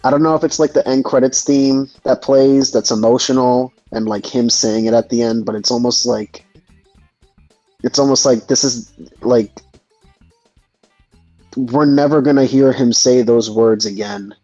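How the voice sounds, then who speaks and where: A man's voice: medium pitch, steady tone, unhurried, mellow, dry recording. A man in a casual discussion, speaking in a reflective, hesitant way and often searching for words. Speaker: host of a gaming discussion. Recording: dull lo-fi mic, music underneath. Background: background music.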